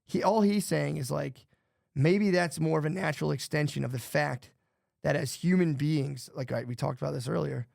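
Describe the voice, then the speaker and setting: A man's voice: a little high, moderate pace, gravelly, a little mellow, no echo. A man co-hosting a podcast, rambling loosely and swearing, saying "dude" a lot, on a close studio mic. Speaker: podcast co-host. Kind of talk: casual podcast chat.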